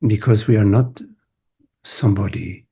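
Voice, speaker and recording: A man guides a meditation in a low, very husky and breathy voice. His delivery is mellow and contemplative, broken into short phrases with long silences between them. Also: measured pace. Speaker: meditation teacher. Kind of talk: guided meditation, spoken softly to listeners.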